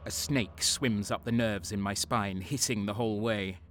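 A man's voice: fairly low pitch, steady tone, normal speed, fairly bright tone, a little hiss or hum, close to an everyday voice. An actor playing a bitter, wounded guardsman who narrates his torment in a grim sci-fi war audio drama. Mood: grim, pained. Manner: brooding, grim. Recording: dramatic music behind the voice.

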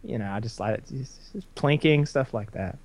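A man's voice: medium pitch, moderate pace, a little mellow. A man in his thirties in casual chat, rambling with frequent restarts and swearing. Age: 30s.

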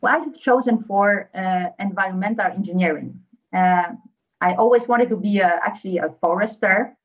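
A woman's voice: medium pitch, normal speed, muffled recording. A young woman from Poland with a Polish accent, speaking as a guest on a podcast interview, hesitant with many fillers. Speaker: engineer guest.

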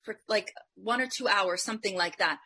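A woman's voice: mid-range voice, moderate pace, bright, forceful tone, slightly rough. A woman from Colombia speaking on a live online business panel, emphatic and motivational.

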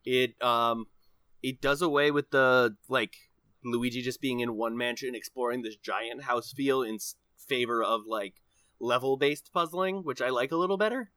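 A man's voice: medium pitch, moderate pace, bright, forceful tone, dry recording. A man co-hosting a gaming podcast, talking in a casual, conversational way. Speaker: podcast co-host.

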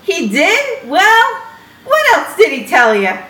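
Lady doing excitable young guy lion, super high pitched, swoops all over, echoey room. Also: slow pace, exaggerated voice. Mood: easygoing, defensive. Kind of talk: comic script table read. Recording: echoey room with clear background noise.

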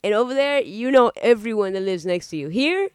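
A man from Argentina with a very clear, smooth voice trades casual banter as a podcast co-host. He is blunt, questioning and sweary. The recording is close and dry. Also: sits high, lively intonation, brisk, dry recording. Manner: informal, blunt, frequent swearing.

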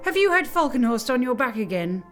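Teasing wife, high lively voice. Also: female voice, a little fast, soft background music. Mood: needling. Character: affectionate, teasing, probing wife of railway director. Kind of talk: audio drama acting.

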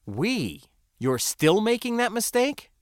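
A man's voice: high voice, wildly swooping pitch, slow, no room sound, clean studio sound, voice pushed up, full character voice. A man playing an impatient, incredulous off-world spacer in an audiobook, questioning in a blunt, exasperated way. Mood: skeptical.

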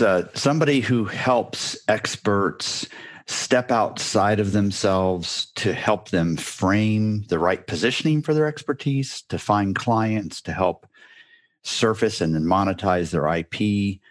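Man, very deep voice, dry close recording, wry, chuckling guest. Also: slow pace, no room sound. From Central America. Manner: wry, chuckling, self-deprecating. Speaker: guest, business advisor. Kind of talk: casual interview talk.

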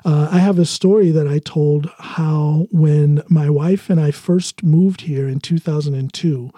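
A man's voice: fairly high pitch, fairly even intonation, unhurried, warm tone, husky, no echo. An American man hosting a podcast, talking casually and telling personal stories. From United States. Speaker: podcast host. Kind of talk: casual conversation, personal storytelling.

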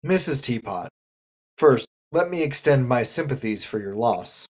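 A man playing a polite, restrained police detective, measured and formal, in a comic radio murder mystery. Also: mid-range voice, lively intonation, slightly rough. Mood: tactful, grave.

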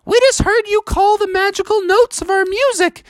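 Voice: very high-pitched, normal speed, dry recording, pitched above the performer's own voice. A storyteller voicing a friendly magical female narwhal in a cheerful, sing-song way.

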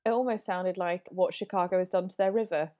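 A woman's voice: on the low side, steady tone, fairly quick, mellow. A woman joining a podcast remotely as a guest, stuffed up with a cold and talking with dry wit. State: has a cold. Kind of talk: casual podcast chat. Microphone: remote call.

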